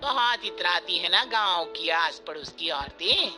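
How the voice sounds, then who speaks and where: A woman's voice: on the low side, lively intonation, measured pace. A woman voicing a boastful, doting and bossy middle-aged village mother-in-law in a story cartoon, with folksy, emphatic delivery. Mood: proud, scheming. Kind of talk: Hindi moral story cartoon voice acting. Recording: music bed behind the voice.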